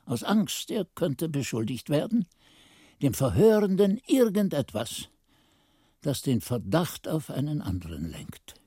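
Monk storyteller, radio play narration, mellow, slightly husky, measured, literary, faint music. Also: male voice, medium pitch, no room sound, clean studio sound. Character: male monk-pupil recounting his master's investigation.

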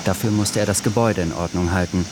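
An actor serving as a sober, observant narrator, reading literary scene descriptions in a radio drama. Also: male voice, sits low, steady tone, quick pace, noisy background, natural voice.

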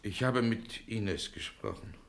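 An actor playing a troubled, reluctant man pressed to kill, with grave, halting, solemn delivery in a 1960s radio play. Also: on the low side, sing-song, creaky, fairly bright tone, full character voice. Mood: resigned, grave. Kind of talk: 1960 German radio play acting.

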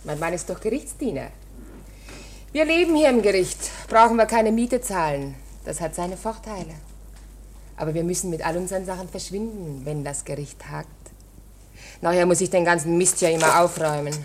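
An actress playing a flirtatious, chatty wife of a court usher in a radio drama, colloquial and coaxing. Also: female voice, fairly low pitch, moderate pace, darkish tone, a little hiss or hum, natural voice. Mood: chatty, matter-of-fact.

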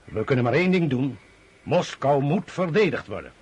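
An impatient Russian general in radio drama. The voice is very husky and animated, pressing every point with combative urgency. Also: male voice, mid-range voice, moderate pace. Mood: urgent, insistent. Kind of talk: Dutch radio drama acting.

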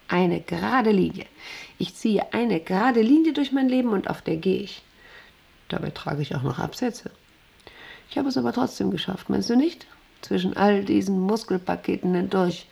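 A woman's voice: lowish voice, gravelly, darkish tone. An actress playing a proud, middle-aged female factory director in a radio play, rambling on in a condescending, self-important way and often seeking agreement. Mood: self-satisfied. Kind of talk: radio play monologue to an employee.

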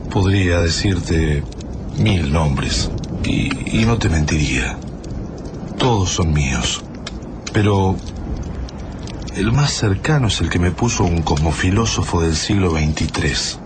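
An actor playing a weary, enigmatic time traveler in a radio drama, with an Argentine accent and a solemn, halting delivery. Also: male voice, low voice, expressive, noisy background. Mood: solemn, musing. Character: weary, enigmatic male time traveler recounting his memories. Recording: loud music under the voice.

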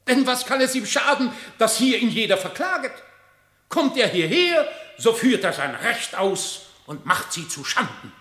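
An actor playing a loyal, glib badger in a classic radio drama, pleading his case in smooth rhetorical verse. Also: extremely high pitch, big pitch swings, a little slow, a bit bright, full character voice. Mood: indignant, mocking. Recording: roomy, echoing radio studio recording.

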